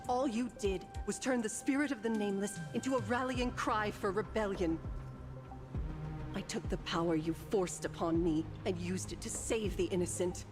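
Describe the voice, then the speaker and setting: An actress playing a solemn, aloof ancient painter spirit in a video game, speaking formally and with measured composure. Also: female voice, mid-range voice, close to an everyday voice. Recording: game music behind the voice.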